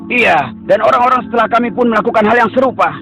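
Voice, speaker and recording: A man's voice: extremely high pitch, fast, creaky, bright, forceful tone, faint music behind, full character voice. A man voicing an insistent male villager who worships idols in a dubbed cartoon.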